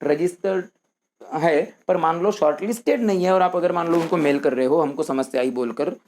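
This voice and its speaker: A man's voice: fairly high pitch, brisk, darkish tone. A man hosting an explanatory tutorial, talking instructively to viewers as friends and repeating his points. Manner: repetitive, instructive, addresses listeners as friends. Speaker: host of a quiz-show guidance video.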